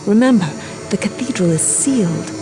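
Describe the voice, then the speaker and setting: An actress playing a grave, composed and mysterious woman ally in a video game, speaking in a measured, formal way. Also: medium pitch, animated, over music, natural voice. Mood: urgent. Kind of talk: video game cutscene acting.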